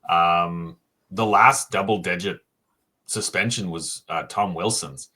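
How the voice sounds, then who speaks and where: Low-voiced man musing over hockey. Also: pushed and bright, husky. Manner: thinks aloud with frequent "um" pauses and self-restarts. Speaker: co-host, hockey writer. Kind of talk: casual sports podcast chat.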